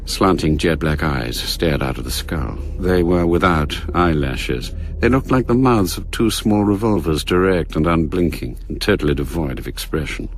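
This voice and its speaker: A man acting as the grave narrator of a radio spy thriller, reading literary description in a measured way. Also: low voice, lively intonation, a little hiss or hum.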